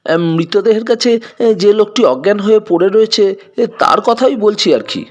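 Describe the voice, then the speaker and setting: Man playing a hesitant, high-pitched anxious gentleman. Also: normal speed, muffled recording. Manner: hesitant, stammering with filler sounds. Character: anxious, hesitant gentleman resident of a flat building.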